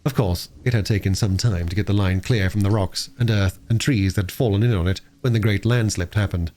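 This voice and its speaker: A man narrating a story as an audiobook reader, with expressive delivery. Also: on the low side, a little fast.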